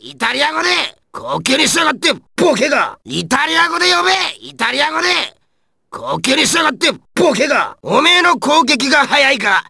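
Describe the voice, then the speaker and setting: An actor playing a hot-tempered male ice-wielding villain in an anime video game, with a coarse, raspy, gravelly, snarling delivery. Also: very high-pitched, big pitch swings, a little fast, bright, forceful tone, dry recording, clean studio sound, full character voice. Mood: furious, then aggressive, triumphant.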